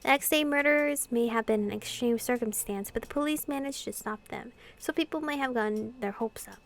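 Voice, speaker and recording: A woman doing an informal, expressive playthrough of a visual novel, reading and commenting as host. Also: on the high side, normal speed. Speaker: gaming video host.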